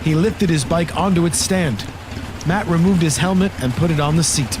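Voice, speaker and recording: Male horror narrator amid loud background noise. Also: a little high, moderate pace. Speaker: story narrator. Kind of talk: scripted horror audio drama narration. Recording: loud storm and engine sound effects. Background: loud storm and vehicle ambience.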